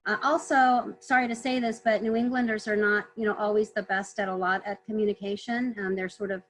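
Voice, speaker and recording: A woman guest on a video call webinar, answering earnestly, clearing her throat often as she is losing her voice. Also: medium pitch, steady tone, brisk. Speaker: trade consultant guest.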